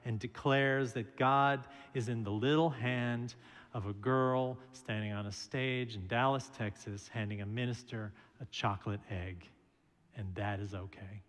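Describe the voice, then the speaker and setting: Middle-aged male minister, mid-pitched, slow and measured sermon delivery, reverberant church hall. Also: steady tone, fairly bright tone. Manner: slow, measured preaching with storytelling asides. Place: large church sanctuary, at a pulpit. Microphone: pulpit microphone.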